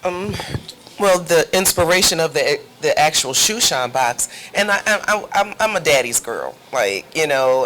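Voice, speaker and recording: A woman telling personal stories as an interview guest, with halting, repetitive phrasing. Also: sits low, a bit bright, a little hiss or hum.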